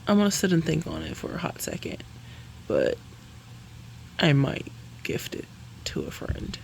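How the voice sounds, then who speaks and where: A woman vlogger talks casually through a makeup review, her pitch swinging up and down as she chats. Her voice has a gravelly fry, and she rambles and corrects herself as she goes. A faint noise sits behind her. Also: huge pitch swings, unhurried, darkish tone. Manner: chatty, rambling, self-correcting; laughs between sentences. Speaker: beauty vlogger.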